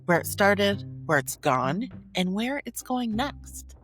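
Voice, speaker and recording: A woman's voice: mid-range voice, sing-song, slow pace, fairly bright tone, soft background music. A woman hosting a podcast solo, narrating in a conversational, earnest and emphatic storytelling style. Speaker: podcast host.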